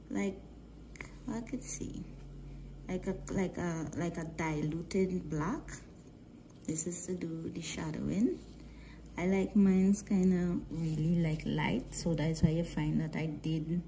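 A woman from Guyana with a mixed Guyanese-Trinidadian accent, talking through a nail art tutorial in a relaxed, halting way with many fillers, often dropping to a near whisper. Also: fairly low pitch, slight background noise, low-quality recording. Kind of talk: casual voice-over tutorial.

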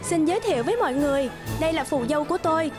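An actress playing a sweet, earnest young bride-to-be in a cartoon, youthful and expressive. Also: female voice, high voice, very fast. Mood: proud. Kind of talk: cartoon voice acting. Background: music.

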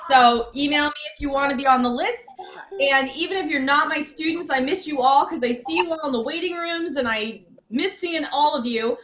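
A woman's voice: high voice, fairly bright tone, rough recording. A young woman, bubbly and effusive, chatting casually as she hosts an online music gathering from a room at home over a laptop. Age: young adult. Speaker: music teacher hosting an online open mic. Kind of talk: casual chat on a video call. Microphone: laptop on a video call.

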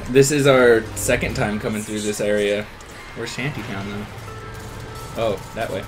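A man's voice: fairly low pitch, fairly even intonation, normal speed, over music, rough recording. A man playing a video game and chatting with friends, joking casually in a crude, goofy way. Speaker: video game player in a group let's play.

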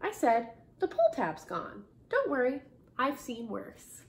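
A woman's voice: fairly high pitch, wildly swooping pitch, takes it slowly, a little hiss or hum, exaggerated voice. A woman voicing a kindly, easygoing male school custodian in a storybook reading. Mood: calm, kindly. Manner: easygoing, reassuring.

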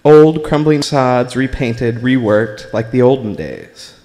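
An American man reading his own writing aloud at a live open mic, in a deliberate, measured way with pauses between short phrases. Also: medium pitch, mellow. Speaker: spoken word performer reading own writing. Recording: live recording in a reverberant room.

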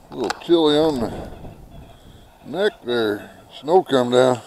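Gravelly middle-aged American man, folksy. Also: mid-range voice, lively intonation, slow pace, a little mellow. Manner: folksy, chatty, talks to his birds. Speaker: homestead vlogger. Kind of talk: casual vlog chat. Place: outdoors in a snowy farmyard. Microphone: handheld camera at arm's length. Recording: noisy outdoor camera audio, birds clucking.

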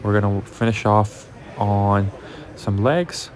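A man's voice: lowish voice, slow pace, a little mellow. A young man with a cold talking viewers through his boxing workout in a voice-over, coaching them as guys. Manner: instructive, addresses viewers as guys. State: has a cold, congested. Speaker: amateur boxer and fitness vlogger.

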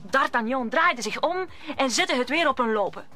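Bright-voiced woman narrating a story. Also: high-pitched, animated, moderate pace, soft background music. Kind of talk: scripted storytelling narration for audio drama.

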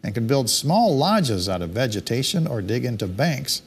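A male news anchor reads the day's stories from a television studio desk. His voice is mid-pitched and a little husky, and his delivery stays steady and measured. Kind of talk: scripted news reading.

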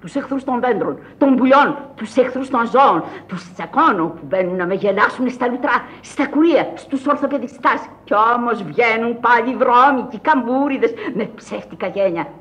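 An actress playing an eccentric, whimsical old countess, speaking in a grand, commanding manner of classic radio theatre. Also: female voice, on the high side, expressive, few pauses, fairly bright tone. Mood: whimsical, confiding.

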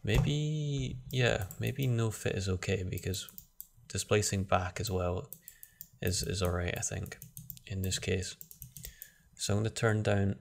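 Male tutorial host, deep and gravelly, flat delivery, casual think-aloud style. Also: a little slow. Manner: relaxed, thinking aloud with frequent restarts. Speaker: software tutorial instructor. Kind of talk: screen-recorded software tutorial.

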